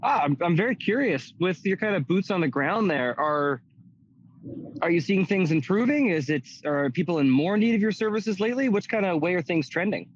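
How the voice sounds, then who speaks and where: A man with a fairly high voice hosts a casual chat, friendly and curious as he asks his guest questions. The audio sounds dull and lo-fi. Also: animated, brisk, a little hiss or hum. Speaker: host.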